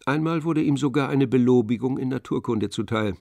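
A man's voice: medium pitch, fairly quick, creaky, a little mellow, no echo. A man reading literary narration as the storyteller of a radio play.

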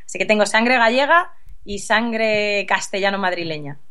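A woman's voice: mid-range voice, unhurried. A woman in her thirties from Spain, answering interview questions casually with frequent laughs and restarts. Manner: relaxed, laughs often, restarts sentences. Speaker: interview guest, actress.

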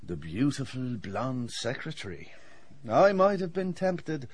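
Wry, roguish dentist, dry studio sound. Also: male voice, medium pitch, very animated, a little slow, no echo. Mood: wry, teasing. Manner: sardonic, flippant, talkative. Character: wry, roguish, hard-drinking male dentist. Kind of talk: radio drama acting.

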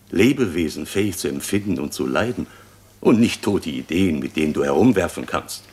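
A stern, moralizing friend with a low voice and very animated intonation. The delivery is emphatic and admonishing, in the style of 1950s German radio drama. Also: male voice. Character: stern, reproachful male friend, urgent and moralizing.

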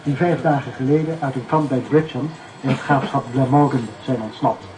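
An actor playing a formal 1940s radio newsreader reading the bulletin. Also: male voice, mid-range voice, background noise, close to an everyday voice. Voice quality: formal, measured news delivery.

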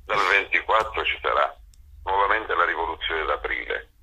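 A man's voice: deep voice, normal speed, pushed and bright, a little hiss or hum, poor audio. A man, a theatre author and actor, talking at length as a guest in a radio interview, rambling with many fillers and restarts. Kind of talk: radio interview, discursive talk.

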